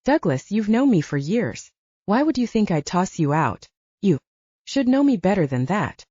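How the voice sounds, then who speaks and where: A woman's voice: mid-range voice, very animated, normal speed, mellow, slightly rough, no room sound, lo-fi sound. A woman voicing a stern, proud male alpha werewolf with a blunt, commanding manner. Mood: hurt, incredulous.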